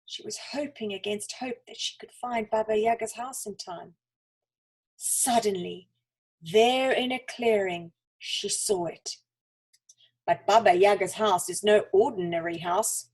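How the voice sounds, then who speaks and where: A woman reads a children's story expressively, with dramatic pauses and deliberate emphasis. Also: mid-range voice, darkish tone, breathy edge.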